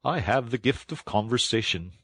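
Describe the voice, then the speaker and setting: A man voicing a vain, excitable toad with a boastful, theatrical way of talking in a children's storybook. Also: medium pitch, normal speed, husky, no room sound, pitched above the performer's own voice, full character voice. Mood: boastful, airy.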